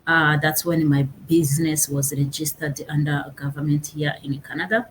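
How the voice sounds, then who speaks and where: A young woman with a Rwandan accent, a guest on a casual interview, pausing often and searching for her words. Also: low-pitched, fairly even intonation, a little hiss or hum. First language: Kinyarwanda. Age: young adult. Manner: halting, searching for words with many fillers.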